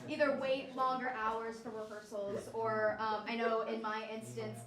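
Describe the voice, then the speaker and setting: Young female student director, high voice, casual and hesitant curtain-call announcements, distant mic in an echoey hall. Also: little pitch movement, normal speed, a crowd in the background. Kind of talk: informal live thank-you speech to an audience. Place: large indoor hall, crowd behind.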